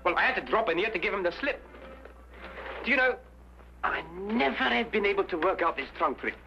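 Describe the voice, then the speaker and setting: A slippery stage escape artist with a very high, sharp, forceful voice. He is nervy, excitable and pleading, swinging widely in pitch. It is an old, dull detective drama recording. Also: fairly quick, gravelly, soft background music, full character voice. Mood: brisk, chatty. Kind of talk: vintage detective drama acting.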